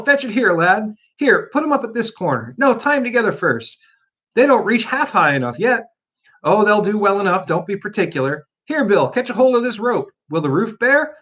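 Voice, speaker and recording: A man voicing a bustling crew of squabbling male animal workers from a storybook, rough and chummy. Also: high voice, very animated, normal speed, fairly bright tone, dull audio, voice pushed up, heavily acted. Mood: bustling, bickering.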